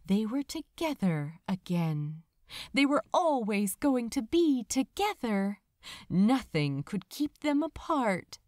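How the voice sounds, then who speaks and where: A woman reading a romantic story aloud as a narrator, with a dramatic, tender and measured delivery. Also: a little high, big pitch swings, a little mellow, no echo, studio quality. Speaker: fan fiction audiobook narrator. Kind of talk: expressive scripted story narration.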